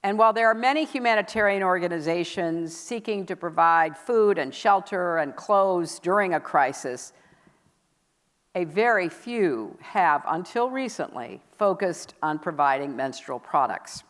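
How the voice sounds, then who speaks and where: A woman hosting a conference session, giving a public speech in a measured, deliberate and emphatic way. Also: on the low side, lively intonation. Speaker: host presenting a commitment at a conference session. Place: large indoor event hall. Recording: echoey hall, long reverb.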